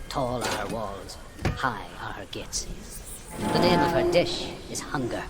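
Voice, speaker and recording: A man playing a grave storyteller of old myths in a video game, measured and ominous, phrases trailing into hush. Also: lowish voice, lively intonation. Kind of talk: solemn scripted mythic storytelling in a game. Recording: loud ambient noise behind the voice.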